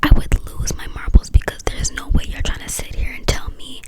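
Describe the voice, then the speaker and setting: A woman speaking in a breathy whisper, giving casual, opinionated commentary in slangy, informal phrasing, in an ASMR-like style. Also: fairly quick. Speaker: vlogger reviewing a reality dating show.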